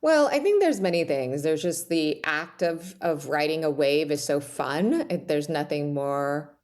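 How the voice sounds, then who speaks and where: A woman's voice: deep voice, expressive, measured pace, a little mellow. A woman speaking as a podcast guest, reflective and conversational, with casual phrasing.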